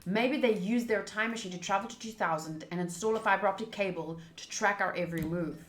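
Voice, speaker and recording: A woman's voice: medium pitch, a little fast. A young woman game streamer reading game dialogue aloud and adding playful, self-mocking casual commentary. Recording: roomy sound over game music. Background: faint game music.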